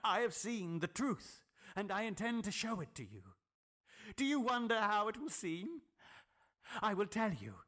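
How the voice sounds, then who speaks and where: A man's voice: sits high, expressive, measured pace, pauses often, sharp tone, voice pushed up, exaggerated voice. A man voicing a crazed, gloating, obsessed scientist with theatrical, emphatic menace in an audiobook horror story. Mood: fervent, menacing. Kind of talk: dramatic audiobook character acting.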